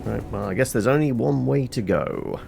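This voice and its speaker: A man's voice: medium pitch, moderate pace, creaky, background noise. A man giving casual, chatty video game commentary, playfully thinking aloud to himself.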